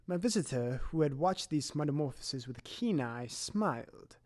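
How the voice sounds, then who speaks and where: A man's voice: fairly high pitch, lively intonation, darkish tone, lightly acted. A man playing a grave, shaken Victorian doctor, recounting horror in formal, dramatic audio drama narration. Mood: grave, tense. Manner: formal, dramatic, measured storytelling.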